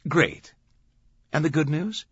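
Man voicing a brash, cocky reporter, forceful. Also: on the high side, lively intonation, slow pace, husky, dry recording, highs cut off, pitched above the performer's own voice, full character voice. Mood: grumbling. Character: brash, cocky male news reporter chasing a story.

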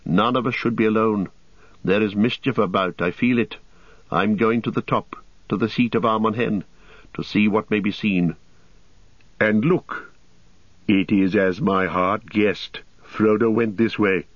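A man's voice: on the low side, steady tone, measured pace, no echo, lo-fi sound. A man voicing a grave, formal male ranger who leads with authority, for a storybook. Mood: resolute. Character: grave, commanding male ranger, leader of travellers.

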